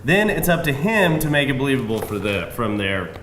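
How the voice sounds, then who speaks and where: An actor playing a smooth, defensive man pitching a shady job in a live screenplay table read. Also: big pitch swings, brisk, fairly bright tone. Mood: defensive, persuasive. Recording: roomy live venue, faint noise.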